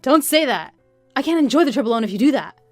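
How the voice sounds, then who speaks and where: A woman's voice: high voice, expressive, fast talker, darkish tone, dry recording, soft background music, pitched above the performer's own voice. A woman voicing a flustered, evasive cheating husband in a narrated story, stammering and pleading.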